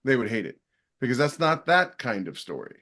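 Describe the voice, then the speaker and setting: A man of middling pitch chats as a podcast panellist. His intonation moves widely up and down, and he makes his points in an animated, emphatic way. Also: fairly quick. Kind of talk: casual chat.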